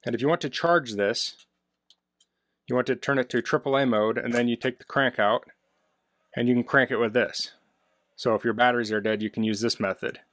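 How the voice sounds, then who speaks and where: A man talks through a hands-on product demonstration with a mid-pitched voice. He is plain-spoken and practical, explaining things casually, and the indoor recording is fairly dry. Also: fairly even intonation, brisk, long pauses, a bit bright, no room sound. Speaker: product reviewer. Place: basement, indoors.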